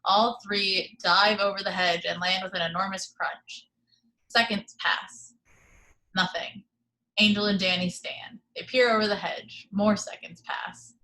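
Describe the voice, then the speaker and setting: Woman reading stage directions, bright tone, even and steady. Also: mid-range voice, a little slow, rough recording. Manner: steady, matter-of-fact reading. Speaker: reader of the action description. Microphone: video call.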